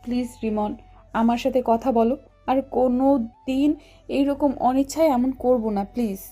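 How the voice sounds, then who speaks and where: A woman's voice: on the high side, moderate pace, mellow, close to an everyday voice. A woman playing a bossy, hot-tempered, romantic college girl in an audio love story. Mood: pleading. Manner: demanding, scolding, pleading. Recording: music bed under the voice.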